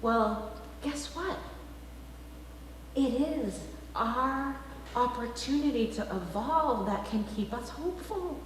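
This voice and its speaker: A woman minister preaches in a large, very reverberant church space with faint noise behind her. Her voice sits a little high. She phrases emphatically, with deliberate pauses in the manner of a sermon. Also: animated. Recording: very echoey hall, faint background noise.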